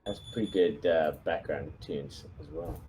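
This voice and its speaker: A man's voice: a bass voice, unhurried, warm tone, slight background noise, low-quality recording. A man chatting casually as a player in a tabletop game. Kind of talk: casual chat.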